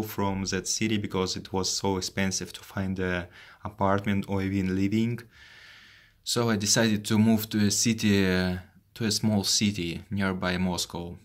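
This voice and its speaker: A Russian man in his twenties, a native Russian speaker telling his own story in English, haltingly, with many fillers and repeated words. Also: low-pitched. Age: 20s. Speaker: vlogger. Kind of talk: personal story to camera.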